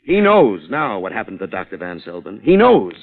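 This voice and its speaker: A shrewd, defiant newspaperman in a vintage radio thriller. His voice sits fairly low, and he talks in a pointed, taunting, hard-boiled way. It has the sound of an old radio broadcast. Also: wildly swooping pitch, normal speed, exaggerated voice, rough recording. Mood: goading. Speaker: lead actor and narrator. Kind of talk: old-time radio suspense acting.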